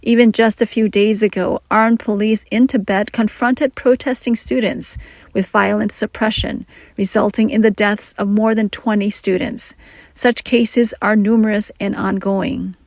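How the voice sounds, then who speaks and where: A woman narrating a news report in a measured, scripted reading. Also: medium pitch, steady tone, a little mellow, no echo.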